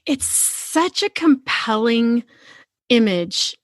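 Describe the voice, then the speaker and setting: A woman podcast host talking conversationally about books in an earnest, expressive manner. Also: on the high side, extremely slow, no echo. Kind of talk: conversational book discussion.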